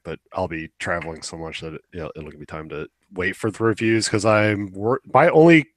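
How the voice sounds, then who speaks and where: A man's voice: low voice, no echo. A young man chatting casually as a podcast co-host, opinionated and rambling, swearing now and then. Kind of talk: casual chat about video games.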